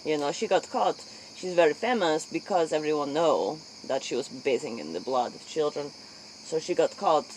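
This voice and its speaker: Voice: medium pitch, lively intonation, normal speed, darkish tone, low-quality recording. Spoof talk-show interviewer, mid-range voice, inquisitive, foreign-sounding broken English, faint night-time insect noise behind. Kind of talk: role-play spoof late-night interview.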